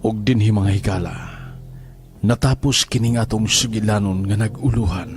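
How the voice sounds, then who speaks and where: A man's voice: on the low side, fairly even intonation, normal speed, a little mellow, faint music behind. A man announcing a radio drama in a dramatic, emphatic, projecting delivery. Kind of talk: dramatic announcing of closing credits.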